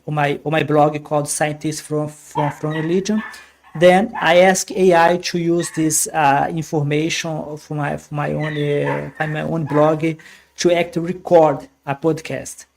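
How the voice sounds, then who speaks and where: A man whose first language is Portuguese, hosting a podcast live in a casual, halting way with many fillers and restarts. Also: on the high side, vocal fry, slight background noise. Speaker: podcast host. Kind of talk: casual live-stream talk. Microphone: computer microphone.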